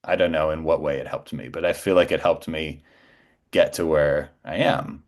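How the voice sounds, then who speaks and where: A man in his forties with a very low voice talks as a guest in a casual conversation. He tells stories about himself in a self-assured, anecdotal way. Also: fairly even intonation, normal speed. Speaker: podcast guest, voice actor.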